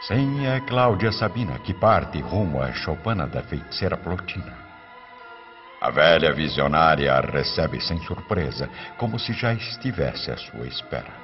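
A man's voice: extremely low pitch, big pitch swings, normal speed, full character voice. A man playing the solemn, dramatic narrator of a radio drama, with a Brazilian accent. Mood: solemn, suspenseful. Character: solemn, dramatic male storyteller. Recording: muffled radio drama with prominent music.

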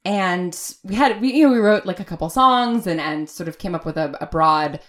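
A woman's voice: fairly low pitch, lively intonation, moderate pace. A woman, talking casually and effusively as an interview guest, rambling and repeating words for emphasis. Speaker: guest, audio fiction creator.

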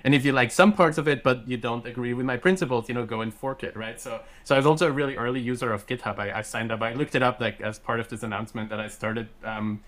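A man in his thirties, raised in Austria with German as his native tongue, talks as an interview guest. His speech is excited and loose, full of fillers and quick self-corrections, at an ordinary middle pitch. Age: 30s. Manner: excited, many fillers and restarts. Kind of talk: casual podcast interview.

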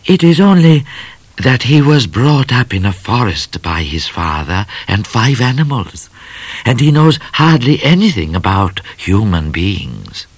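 A man reading aloud, voicing a clever, soft-spoken male talking cat in a storybook. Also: medium pitch, very animated, unhurried, husky, no echo, pitched above the performer's own voice, heavily acted. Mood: earnest, hushed. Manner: soft, hushed, often whispering.

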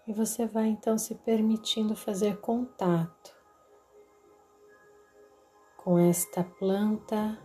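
A woman with a Brazilian accent narrating a guided meditation in a soothing, deliberate way, leaving long pauses. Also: medium pitch, dark tone. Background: background music.

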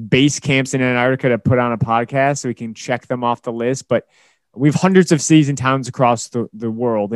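Tired, slightly hoarse male podcast host. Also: medium pitch, dry recording. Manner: rambling, many fillers and restarts. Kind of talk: casual solo podcast talk.

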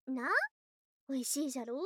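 A voice actress playing an affectionate snake-spirit wife in a Japanese ASMR voice drama, with archaic, coy, excitable speech and open devotion. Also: female voice, high-pitched, no echo, studio quality. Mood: playful, coy. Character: affectionate female lamia snake-spirit wife, girlish yet old-fashioned.

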